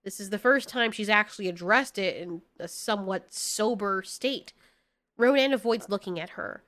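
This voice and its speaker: A woman's voice: mid-range voice, moderate pace, fairly bright tone. A woman storyteller giving dramatic scripted narration in an informal, candid way.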